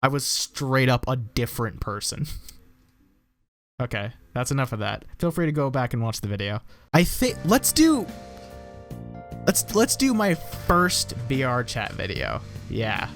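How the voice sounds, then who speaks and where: A man's voice: mid-range voice, very animated, measured pace, a bit bright, faint music behind. A young American man, a YouTuber, rambling and self-deprecating as he reacts to his old videos, swearing casually. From United States.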